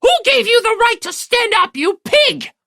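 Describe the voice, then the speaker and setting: A woman's voice: very high voice, expressive, normal speed, gravelly, sharp tone, pitched above the performer's own voice, full character voice. A woman voicing a haughty, sadistic young lady in an audiobook, refined and imperious with a volatile temper. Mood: furious, screeching. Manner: imperious, refined, snapping into screeching. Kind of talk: anime-style audiobook character acting.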